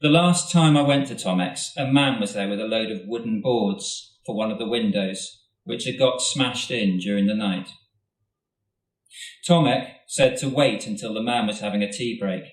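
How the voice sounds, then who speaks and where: A man, an author, reading his own short story aloud at a festival event in a steady, measured way, recorded in a hall from a distance. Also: fairly low pitch. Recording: roomy hall, mic at a distance.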